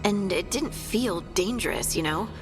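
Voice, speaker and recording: A woman's voice: mid-range voice, animated, moderate pace, over music, close to an everyday voice. A woman playing a sympathetic, tentative companion in a fantasy video game, speaking with wonder.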